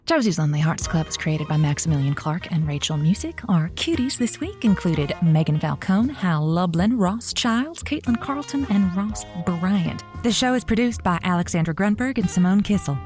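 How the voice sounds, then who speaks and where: A woman's voice: on the low side, animated, normal speed, hardly any pauses, darkish tone, soft background music, lightly acted. A woman playing a clingy, jealous and dramatic radio show co-worker in an audio comedy-drama. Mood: chirpy, announcing.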